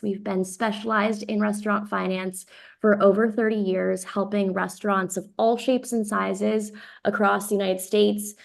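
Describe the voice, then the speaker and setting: Brief: woman webinar host, mid-pitched, creaky fry, upbeat and casual. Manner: upbeat, with frequent ums. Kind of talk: webinar introduction.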